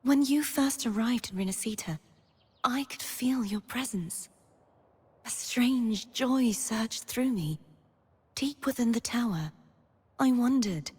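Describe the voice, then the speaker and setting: A young woman playing a gentle, earnest, wistful maiden heroine in scripted video game lines, soft-spoken and breathy. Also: a little high, exaggerated voice. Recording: long reverb on the voice.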